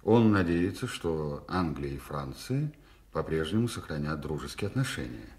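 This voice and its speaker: An actor playing a polite, deferential lord and envoy in a radio play, speaking formally. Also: male voice, a bass voice, very animated, moderate pace, a little mellow. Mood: respectful. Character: deferential English lord on a royal errand.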